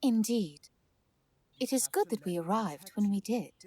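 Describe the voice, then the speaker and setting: A woman's voice: medium pitch, lively intonation, unhurried, dark tone. An actress playing a poised, courteous noblewoman in a fantasy audio drama, speaking in a formal, composed way.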